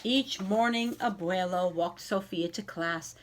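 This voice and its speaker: A woman reading a children's picture book aloud in an expressive storytelling style, addressing young listeners directly. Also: lowish voice. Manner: animated, pausing to ask young listeners questions.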